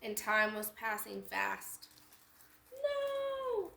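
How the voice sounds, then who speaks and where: A woman reads a children's storybook aloud in an echoey room. Her voice is bright and fairly high, with very lively swings in pitch. She acts out the text and pauses to point out details as a storytime host. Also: gravelly, a little hiss or hum. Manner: animated, acting out lines and pointing out details. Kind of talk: expressive picture-book read-aloud with explanatory asides.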